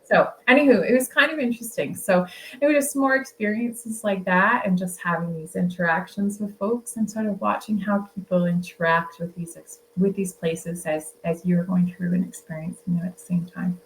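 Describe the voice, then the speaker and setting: A woman's voice: on the low side, fairly even intonation, normal speed, slight background noise, low-quality recording. A woman author, a guest, telling travel stories in a casual, chatty way and laughing often. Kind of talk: casual storytelling.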